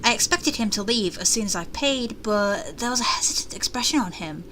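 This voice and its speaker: A woman's voice: mid-range voice, expressive, brisk, fairly bright tone, a little hiss or hum, rough recording. A woman hosting a gaming video, reading story text aloud and reacting casually.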